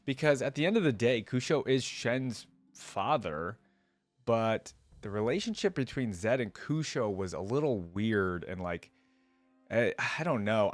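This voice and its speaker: A man's voice: mid-range voice, dry recording. A man giving casual reaction commentary, reading aloud and adding informal, exclaiming asides as host.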